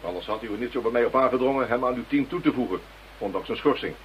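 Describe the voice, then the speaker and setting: An actor playing a skeptical senior police commissioner in a radio drama, brisk and dryly sarcastic. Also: male voice, medium pitch, slight background noise, natural voice. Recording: dull lo-fi radio drama recording.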